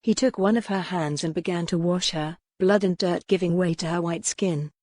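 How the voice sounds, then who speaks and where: A woman's voice: lowish voice, moderate pace, a little mellow, dry recording. A woman reading a historical romance novel as scripted audiobook narration.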